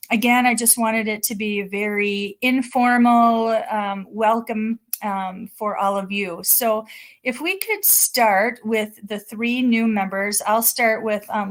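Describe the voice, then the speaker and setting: A woman chairing an informal board meeting, friendly and lightly joking as she hands the floor to others. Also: medium pitch. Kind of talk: running an informal board orientation.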